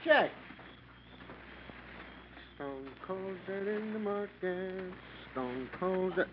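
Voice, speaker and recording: A man's voice: high voice, animated, extremely slow, exaggerated voice. An actor playing a jovial, breezy night maintenance man with slangy talk in an old-time radio mystery. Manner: breezy, slangy, sing-song joking. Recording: muffled old radio recording, faint noise.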